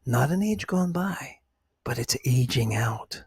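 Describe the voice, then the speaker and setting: A man hosting ASMR, speaking to the listener in a hushed, breathy whisper and soft voice. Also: mid-range voice, animated, slow pace, no echo, clean studio sound. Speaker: ASMR video host.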